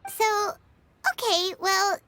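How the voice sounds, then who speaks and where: A woman voicing a small, curious, naive fairy companion in a video game, with a squeaky childlike voice. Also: steady tone, takes it slowly, pushed and bright, slight background noise, exaggerated voice. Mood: curious, puzzled. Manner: talks of self in third person, questioning. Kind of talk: video game character acting. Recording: music under the voice.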